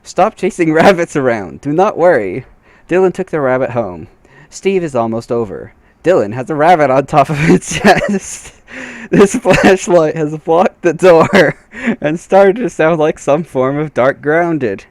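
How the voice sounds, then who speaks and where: A man's voice: a little high, lively intonation, unhurried, no echo. A man giving a comedic dramatic reading of a fan story in an amused, theatrical way. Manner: theatrical, amused, often breaking into laughter. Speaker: reader.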